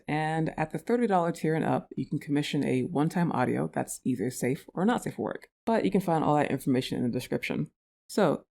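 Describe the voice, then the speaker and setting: A woman's voice: low-pitched, mellow. A woman who makes audio roleplays, talking casually and directly to her listeners in an outro. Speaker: audio roleplay creator and performer.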